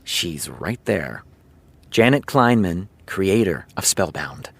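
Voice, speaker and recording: Low, close, easygoing TV showrunner. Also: male voice, big pitch swings, creaky, fairly bright tone, no room sound, full character voice. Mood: earnest, nostalgic. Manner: casual, confiding, storytelling with dramatic pauses. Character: easygoing male TV showrunner, chatty earnest raconteur. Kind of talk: audio drama acting, naturalistic dialogue.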